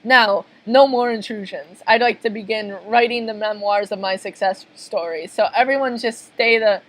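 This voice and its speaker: A woman's voice: mid-range voice, normal speed, fairly bright tone, close to an everyday voice, poor audio. A woman voicing a small, bossy, exasperated male plankton who runs a restaurant in a cartoon story, commanding and easily flustered. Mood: self-important.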